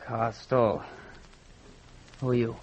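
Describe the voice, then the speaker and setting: An actor playing a nervous, guilt-haunted American robber in an old-time radio drama, hesitant and excitable. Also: male voice, mid-range voice, takes it slowly, lightly acted. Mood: agitated, defensive. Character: jittery, guilt-haunted male accomplice in a train robbery. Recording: dull old radio recording, faint noise.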